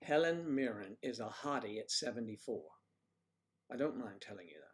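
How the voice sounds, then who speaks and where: A man's voice: medium pitch, animated, normal speed. Chatty man hosting a livestream. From United States. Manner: chatty, jokey, rambling, talks to viewers by name. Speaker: livestream host reading chat. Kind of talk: casual livestream chat.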